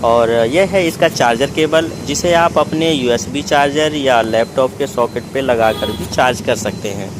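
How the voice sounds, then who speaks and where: A man's voice: medium pitch, unhurried, hardly any pauses, noisy background. A man narrating a product unboxing in a methodical, explanatory way, step by step. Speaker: product reviewer.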